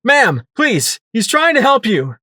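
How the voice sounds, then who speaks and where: A man reading the lines of a brash young mare pony heroine, yelling urgent orders. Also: extremely high pitch, sing-song, unhurried, dry recording, clean studio sound, pitched above the performer's own voice, heavily acted.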